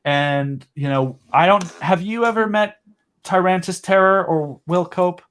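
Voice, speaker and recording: A man's voice: high-pitched, unhurried, a bit bright, clean tone. A man talking as a guest in a casual chat, enthusiastic and rambling, with lots of fillers and restarts. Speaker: podcast guest and audio drama creator.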